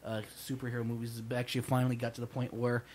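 A man's voice: mid-range voice, monotone, rapid-fire, darkish tone, a little hiss or hum. A young man co-hosting a casual podcast, rambling and swearing with lots of fillers. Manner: rambling, profane, filler-heavy. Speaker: podcast co-host. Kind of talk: casual chat.

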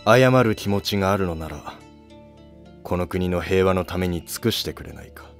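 Man playing a deep, weary old emperor. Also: faint music behind, close to an everyday voice. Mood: stern, searching. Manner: slow, solemn, lordly speech. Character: ailing old retired emperor, weary, grave and watchful. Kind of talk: scripted historical drama dialogue.

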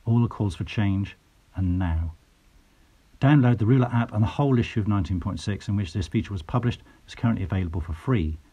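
Male announcer, mellow and a bit husky, even scripted read with a dull, muffled sound. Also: on the low side, a little fast. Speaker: podcast announcer.

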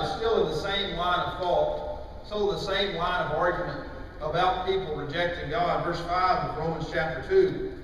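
A middle-aged man preaching a sermon in a church, with emphatic, deliberate phrasing broken by pauses. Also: fairly high pitch. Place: large church auditorium, indoors. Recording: distant, very echoey hall with faint noise.

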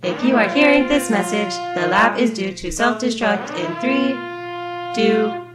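Woman voicing an automated lab announcer over loud music. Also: a little high, unhurried, lightly acted. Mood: impassive warning. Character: impassive female automated laboratory announcement system.

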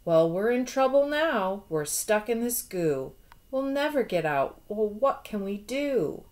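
A woman voicing worried, excitable little monkeys in a children's storybook, exclaiming dramatically. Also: mid-range voice, measured pace.

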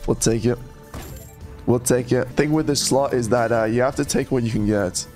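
A young man streaming an online slot game, chatting to viewers and breaking into loud yelps when it pays, though he is sick and losing his voice. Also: medium pitch, moderate pace, faint music behind. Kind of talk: live commentary over online slot play.

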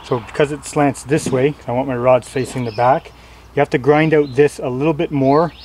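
A man's voice: mid-range voice, fairly even intonation, moderate pace, background noise. A man casually talking through a do-it-yourself job outdoors in a plain, loose way, with fillers and self-corrections. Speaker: DIY instructor. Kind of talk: casual how-to explanation. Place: outdoors on a lawn.

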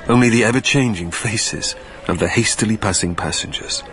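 Guy playing haunted dead man narrator, radio drama, lowish brooding wistful voice, noisy ambience. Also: fairly bright tone, lightly acted. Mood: wistful observing. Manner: literary, measured, brooding. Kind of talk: dramatic first-person scripted narration.

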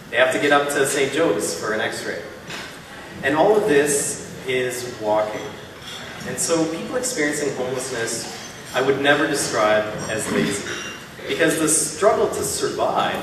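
A man in his thirties giving a keynote speech from a podium, earnest and persuasive, building his points in rhythmic lists. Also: mid-range voice. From Canada. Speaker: keynote speaker. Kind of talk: public speech. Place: large hall, at a podium on stage. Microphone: podium mic, filmed from far off. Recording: echoey hall from far off, room noise.

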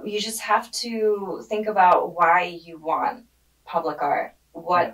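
A young woman born in Germany and raised in Hungary, answering interview questions in a relaxed way with many fillers, on a podcast mic in a studio. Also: fairly low pitch, takes it slowly, distant mic, low-quality recording. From born in Germany, grew up in Hungary. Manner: relaxed, thoughtful, with frequent fillers. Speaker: guest, street art curator. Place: studio with dark padded walls. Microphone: podcast mic on a desk arm.

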